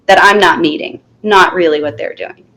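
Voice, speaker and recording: Firm, lively low-voiced woman teacher. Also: normal speed, a little hiss or hum. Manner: firm, animated, acts out classroom lines. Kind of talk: conversational interview.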